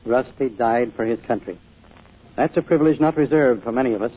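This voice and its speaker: An actor playing an earnest, caring church minister who speaks in a pleading manner, in an old-time radio drama. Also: male voice, medium pitch, fairly quick, slightly rough, lightly acted. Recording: muffled old radio recording.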